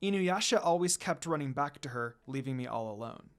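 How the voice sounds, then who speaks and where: A man's voice: mid-range voice, expressive, brisk, close to an everyday voice. A man voicing a polite, earnest young priestess in a story, explaining things respectfully. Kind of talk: storybook character reading.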